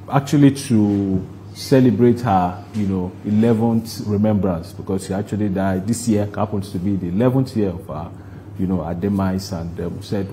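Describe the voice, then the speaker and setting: A man with a Nigerian accent answering interview questions in a deliberate, halting way, explaining things at length. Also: lowish voice, dark tone, slight background noise. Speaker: guest, stage play producer.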